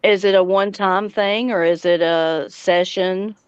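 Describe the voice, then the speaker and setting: A woman's voice: lowish voice, steady tone, measured pace, clear, resonant tone, no echo, lo-fi sound. A woman hosting a casual live chat stream, talking informally with her guests.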